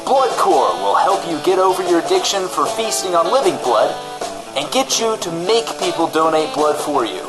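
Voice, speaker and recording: A man voicing a sympathetic, confiding pitchman in a parody radio commercial for bloodthirsty vampires. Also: lively intonation, moderate pace, over music, lightly acted, rough recording. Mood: earnest pitch.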